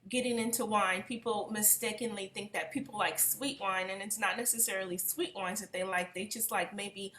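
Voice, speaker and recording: A woman's voice: medium pitch, steady tone, moderate pace, few pauses, pushed and bright, reverberant, slight background noise. A woman hosting a wine class on a video call, talking in an upbeat, chatty way. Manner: chatty, upbeat, many fillers. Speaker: host.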